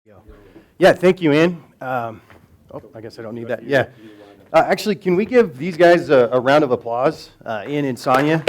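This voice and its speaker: A man's voice: mid-range voice, animated, moderate pace, a little hiss or hum. Chatty American man giving a conference talk. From United States. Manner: conversational, with many fillers and restarts. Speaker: conference speaker. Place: conference hall.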